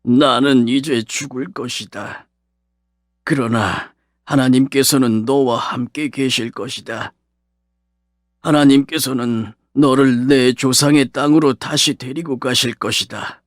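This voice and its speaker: A man playing an aged, dying patriarch who speaks solemnly and deliberately to his sons in a dramatized scripture audiobook. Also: medium pitch, steady tone, no echo, clean studio sound, lightly acted. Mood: gentle, resolute.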